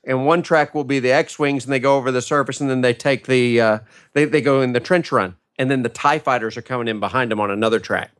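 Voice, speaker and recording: A man's voice: medium pitch, steady tone, fast. A man on a casual discussion panel, explaining his ideas with enthusiasm. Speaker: podcast panellist.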